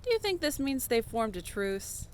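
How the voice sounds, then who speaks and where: Woman acting a high-voiced, amused adventurer. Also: sing-song, normal speed, slight background noise. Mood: curious. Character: amused, reassuring female adventurer companion. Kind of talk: fantasy audio drama.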